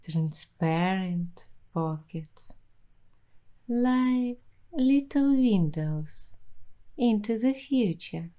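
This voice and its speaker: A woman hosting an ASMR roleplay, talking softly and slipping into whispers now and then. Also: medium pitch, expressive, extremely slow. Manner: soft-spoken, slipping into whispers. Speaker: ASMR host.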